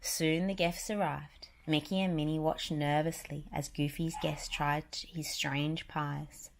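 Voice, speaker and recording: A woman's voice: low-pitched, flat delivery, measured pace, gravelly. A woman narrating a children's picture book, reading it aloud as a story.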